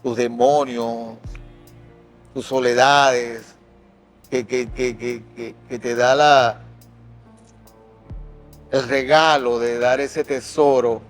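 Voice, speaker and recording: A man's voice: medium pitch, fairly even intonation, very slow, pauses often, faint music behind. A man in his sixties with a Maracaibo Venezuelan accent, an actor and director speaking emphatically and with passion in an interview, often repeating phrases for effect. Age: 60s. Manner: impassioned, emphatic, with repetitions for effect. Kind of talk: personal interview testimony.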